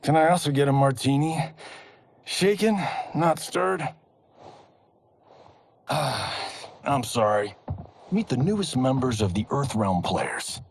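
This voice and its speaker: A man's voice: mid-range voice, expressive, slow, long pauses, breathy edge, exaggerated voice. An actor playing a cocky, joking male movie star in a game cutscene. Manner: joking, flippant. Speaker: wisecracking action movie star. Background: orchestral game music.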